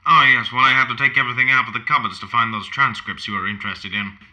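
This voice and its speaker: An actor playing an aging, self-assured fascist poet in an audio play, genteel and formal in manner. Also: male voice, mid-range voice, fairly even intonation, fast talker, pushed and bright. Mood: eager, welcoming.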